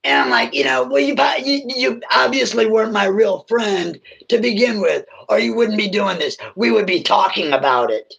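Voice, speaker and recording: A woman with a raspy, gravelly voice, talking bluntly as a guest on a casual livestream. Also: mid-range voice, expressive, normal speed, hardly any pauses, highs cut off. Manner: blunt, emphatic, swears freely.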